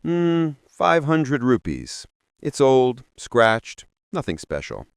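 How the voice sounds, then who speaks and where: A man's voice: mid-range voice, huge pitch swings, takes it slowly, vocal fry, clean tone, no room sound, clean studio sound, pitched above the performer's own voice, full character voice. A man voicing a blunt, dismissive market dealer in a storybook tale, pondering as he appraises.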